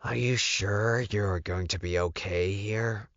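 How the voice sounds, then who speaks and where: A man's voice: lowish voice, fairly even intonation, slow, a bit bright, no echo, dull audio, voice pushed up. A man voicing a caring, worried female wolf spirit companion in an audiobook. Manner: caring, protective.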